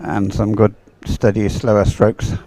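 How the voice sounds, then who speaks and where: A man giving live sports race commentary in a measured, hesitant, observational manner. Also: lowish voice, flat delivery, warm tone, dry recording, rough recording.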